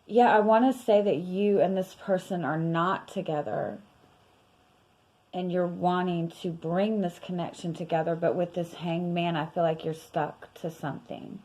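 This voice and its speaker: A young woman reading tarot in a casual, rambling way, her voice worn and going hoarse, with many fillers and soft laughs. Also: on the low side, fairly even intonation, unhurried, poor audio. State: losing her voice. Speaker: tarot reader.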